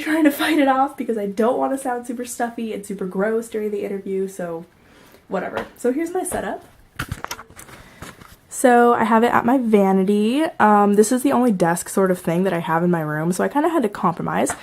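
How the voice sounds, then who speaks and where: A woman's voice: medium pitch, brisk, darkish tone. Young woman rambling casually to her camera, bit stuffy from a cold, lots of "um" and "like". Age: young adult. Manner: chatty, laughs often, many fillers. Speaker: vlogger.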